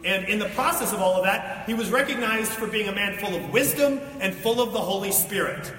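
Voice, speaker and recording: A man's voice: high voice, animated, brisk, pushed and bright. A man preaching in an informal, colloquial way, telling a story and explaining it with asides. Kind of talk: sermon, conversational storytelling and teaching. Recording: echoey hall, distant mic, faint noise.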